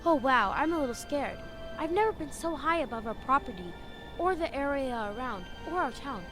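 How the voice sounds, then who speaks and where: A woman's voice: high-pitched, lively intonation, normal speed, music in the background. An actress playing an earnest, curious young girl in a children's audio drama, eager and full of exclamations. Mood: curious, amazed.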